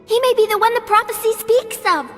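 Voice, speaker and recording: A woman's voice: very high voice, brisk, fairly bright tone, soft background music. A woman voicing a small, eager, loyal fairy creature in a video game, chirpy and full of exclamations. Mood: earnest pleading. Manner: chirpy, exclamatory, punctuates speech with a verbal tic. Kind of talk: video game cutscene acting. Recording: long hall reverb.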